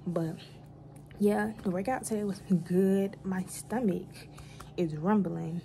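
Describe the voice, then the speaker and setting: Chatty young woman with a gravelly edge. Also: medium pitch, takes it slowly, a little hiss or hum. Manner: chatty, rambling, relaxed, talks to viewers as y'all. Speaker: vlogger. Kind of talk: casual day-in-my-life vlog. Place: bedroom at home, indoors; later in a car in heavy rain. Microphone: phone camera held close at arm's length.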